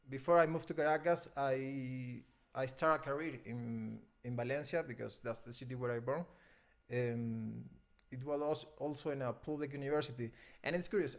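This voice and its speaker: A Venezuelan man in his thirties, a native Spanish speaker, talking hesitantly in an interview and often pausing to find his words. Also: mid-range voice, fairly even intonation. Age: 30s. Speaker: interview guest, film editor. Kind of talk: casual interview conversation.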